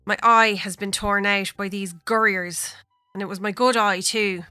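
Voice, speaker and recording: A wailing, melodramatic god, put on by a woman storyteller, full of self-pity. His bright, forceful voice rises high and loud. The sound is dry and close, with faint music underneath. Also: fairly even intonation, a little slow, clear, resonant tone, dry recording. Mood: pained. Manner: wailing, theatrical. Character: melodramatic wounded male god, self-pitying.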